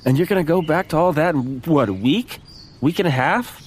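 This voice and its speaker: An actor playing a petty, taunting grown brother in an audio drama, cocky and dismissive. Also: male voice, fairly high pitch, expressive, brisk, slight background noise, lightly acted. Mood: smug, taunting. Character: smug, belligerent adult brother, petty and taunting.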